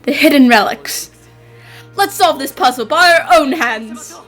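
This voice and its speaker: A woman voicing an eager, plucky young detective with an earnest, expressive manner in an animated fan dub. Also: sits high, measured pace, faint music behind. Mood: excited, determined.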